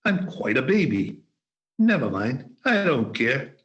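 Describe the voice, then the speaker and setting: A man's voice: medium pitch, very animated, unhurried, fairly bright tone, muffled recording. An actor playing a giddy, overjoyed old man in a radio play, exuberant and stammering. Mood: giddy joy. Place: performed remotely over a video call.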